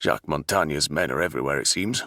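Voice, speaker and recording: A man voicing a gruff, wary older ship captain who growls his words. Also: very deep voice, fast talker, bright, forceful tone, no room sound, studio quality, full character voice.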